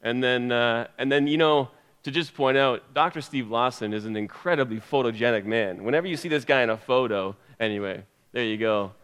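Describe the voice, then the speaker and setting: Joking pastor with a smooth voice. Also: male voice, mid-range voice, a little fast, a bit bright. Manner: joking, rambling with many fillers. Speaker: pastor introducing the guest. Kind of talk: humorous introduction. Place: church.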